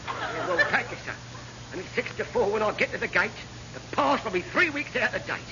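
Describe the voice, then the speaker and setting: An actor playing a hapless, roguish navy chief petty officer who rambles in cheeky, colloquial fashion in a classic radio sitcom. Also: male voice, sits high, very animated, brisk, sharp tone, heavily acted. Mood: resigned, wry. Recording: muffled, noisy old radio comedy recording.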